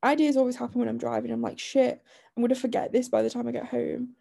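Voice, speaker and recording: A woman co-hosting a podcast in casual chat, her voice croaky from a lingering chest illness, talking chattily with frequent laughs and restarts. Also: fairly high pitch, flat delivery, fast talker, warm tone. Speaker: podcast co-host.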